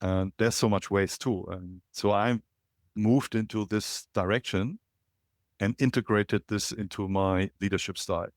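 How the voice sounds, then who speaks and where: A middle-aged man from Germany with a German accent, a podcast guest calling in remotely and telling his story in a reflective, halting way with many fillers. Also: fairly low pitch, fairly even intonation, dry recording, clean studio sound. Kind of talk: casual interview, storytelling. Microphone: remote call.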